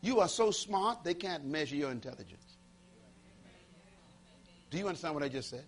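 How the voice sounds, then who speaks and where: A man preaching with a high, husky voice that leaps up and down in pitch. He fires questions at the crowd, hammers his points by repeating them, and drops to a near hush before surging back loud. A faint hum sits under the recording. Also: expressive, normal speed, faint music behind. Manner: dramatic swings between loud and hushed, call-and-response questions; emphatic, repeating key phrases. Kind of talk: motivational sermon to a live audience.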